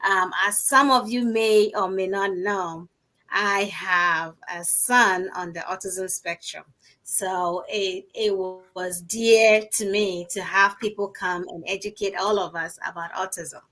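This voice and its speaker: A woman with a bright, clear voice hosts an online conversation. She greets her guest and listeners in a welcoming, upbeat way and keeps the exchange moving. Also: mid-range voice, fairly even intonation, takes it slowly. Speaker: host. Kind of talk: casual online interview.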